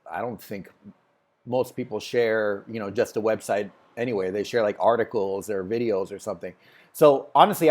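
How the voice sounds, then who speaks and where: A man's voice: on the low side, darkish tone. A man hosting a casual screen-share critique, thinking aloud with lots of fillers and restarts as he clicks through. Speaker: host reviewing a website.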